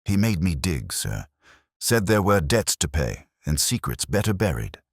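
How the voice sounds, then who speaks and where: A male narrator acts out a frightened workman in a mystery audiobook. He confesses in a halting, pleading way, with a very deep voice that swings in pitch. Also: big pitch swings, slightly rough, no echo, studio quality, heavily acted. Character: frightened, sobbing workman, obedient and guilt-stricken.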